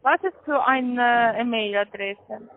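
Hohe Frauenstimme am Telefon, ausländischer Akzent. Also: female voice, fairly high pitch, slight background noise. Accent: foreign. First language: not German. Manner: halting, insistent. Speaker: phone caller from a call centre. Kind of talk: scripted phone instructions, spelling out slowly. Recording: tinny, narrow-band phone line.

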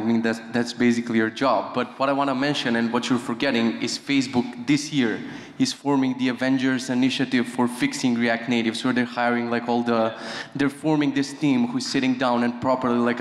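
A man's voice: medium pitch, flat delivery, brisk. Young male panelist, emphatic and keen, handheld mic, roomy echo. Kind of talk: conference panel discussion. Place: conference stage, large hall. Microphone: handheld microphone. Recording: echoey hall with long reverb.

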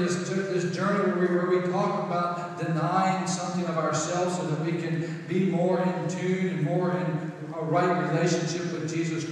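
A man's voice: on the high side, slight background noise. A middle-aged man preaching a conversational sermon in a church sanctuary, often repeating words as he builds a point. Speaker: pastor. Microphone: far camera, room pickup. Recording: echoey hall from far off.

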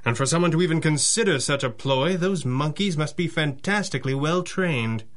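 An actor playing a confident masked crime-fighter in a radio adventure, measured, deliberate and wry. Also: male voice, a little high, animated, fairly bright tone. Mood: cool, analytical. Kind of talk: 1930s-style radio adventure acting.

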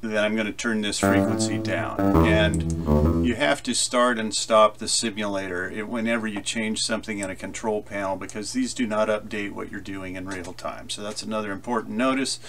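Male tutorial presenter, lowish pitch, informal and halting, loud guitar music under the speech. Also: fairly even intonation. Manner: informal, halting, thinking aloud. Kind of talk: casual software tutorial talk, explaining as he demonstrates. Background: loud electric guitar with delay effects.

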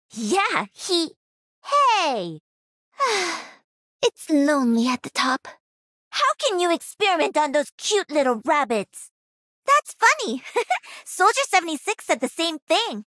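An actress playing a cocky, playful young pro-gamer mech pilot in a video game, with peppy, punchy delivery. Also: female voice, high voice, a bit bright, no echo, clean studio sound, heavily acted. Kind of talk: video game character voice lines.

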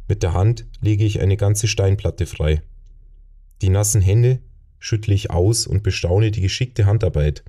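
A man's voice: low voice, measured pace, warm tone. A man reading a fantasy novel as an audiobook narrator, in a scripted first-person storytelling style.

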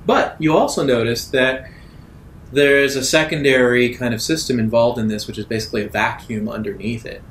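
A man's voice: a little fast, fairly bright tone. A man running a tabletop roleplaying game as game master, narrating and improvising. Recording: roomy echo, low rumbling noise.

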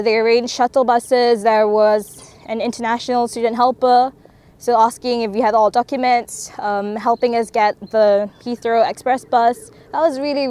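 A woman's voice: fairly high pitch, darkish tone. A young woman from Malaysia, a university student, answering interview questions outdoors in an articulate, slightly formal way. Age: young adult. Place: outdoor park.

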